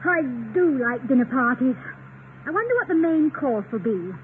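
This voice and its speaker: An actress playing a witty, affectionate English wife, once of the stage, with a gracious, teasing manner in a 1950s radio comedy. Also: female voice, high-pitched, sing-song, moderate pace. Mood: playful. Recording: tinny, noisy 1950 radio recording.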